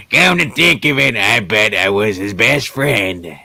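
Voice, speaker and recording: A man's voice: on the low side, animated, takes it slowly, creaky, pushed and bright, no room sound, full character voice. A man playing a callous, jocular businessman in a radio play reading, with a flippant, offhand manner. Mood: flippant, amused. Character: callous, jocular businessman gossiping about a death.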